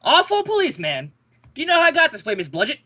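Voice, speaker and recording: A man's voice: very high voice, wildly swooping pitch, fast talker, bright, forceful tone, heavily acted. A man playing an exasperated, grumbling police lieutenant, sarcastic and blustery, in an old-time radio detective drama.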